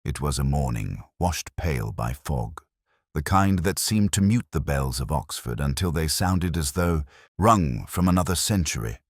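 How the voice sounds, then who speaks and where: A man voicing a melancholy, brooding English police detective, narrating a scripted mystery in a measured, solemn, literary manner. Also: very deep voice, lively intonation, slightly rough, no room sound, studio quality, heavily acted. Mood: melancholy, reflective. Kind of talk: scripted first-person detective story narration.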